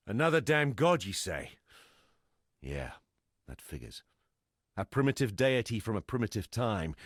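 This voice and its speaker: Gruff weary smuggler survivor for a game, real low and raspy, blunt and grim, dry close sound. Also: male voice, dry recording. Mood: weary contempt. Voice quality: gravelly, husky. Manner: blunt, rough-spoken, salty cursing. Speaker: game non-player character. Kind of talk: scripted video game dialogue.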